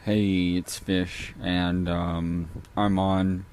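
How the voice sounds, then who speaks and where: A groggy man on painkillers leaving a halting voice message that trails off. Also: low voice, little pitch movement, a little mellow. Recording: noisy home voice message.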